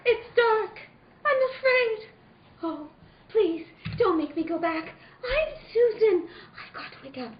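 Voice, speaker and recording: Woman acting a very high-pitched, tearful trapped woman. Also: animated, slow, long pauses, recorded from a distance, full character voice. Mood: frightened, pleading. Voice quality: tearful, wailing. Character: terrified trapped woman Susan, pleading and desperate. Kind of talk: old-time radio drama acting. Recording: roomy, dull amateur recording.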